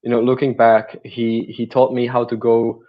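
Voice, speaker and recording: A young man from the Czech Republic with a Czech accent, a native Czech speaker, answering interview questions remotely in a halting way. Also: medium pitch, completely flat delivery, muffled recording. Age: young adult. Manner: halting, with restarts and fillers. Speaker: guest, mindset coach.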